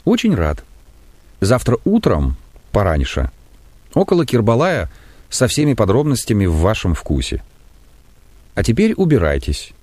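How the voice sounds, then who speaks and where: A man voicing a calm, contemptuous zoologist who speaks in a cool, unhurried tone. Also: lowish voice, no room sound, heavily acted. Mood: cold. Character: cold, calm, mocking male zoologist.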